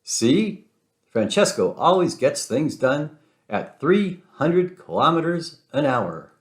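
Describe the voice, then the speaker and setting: A man's voice: a little high, very animated, slow, voice pushed up. A man voicing a boastful Italian race car in a children's storybook, with an Italian accent and a pompous manner. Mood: smug. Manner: pompous, speaks of himself in third person. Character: boastful Italian male race car, grandly self-assured.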